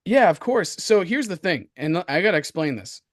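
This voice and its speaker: A man with a hoarse voice co-hosts a casual market commentary show, talking in an excitable, slangy, repetitive way. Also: sits high, normal speed, no room sound, clean studio sound. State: hoarse from coughing. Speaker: crypto show co-host.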